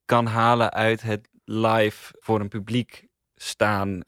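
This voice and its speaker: A man's voice: fairly low pitch, flat delivery, slow pace, a bit bright, smooth, no room sound, clean studio sound. A man sharing thoughtful, slightly tentative opinions in a casual podcast conversation. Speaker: podcast co-host and reviewer. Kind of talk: casual review discussion.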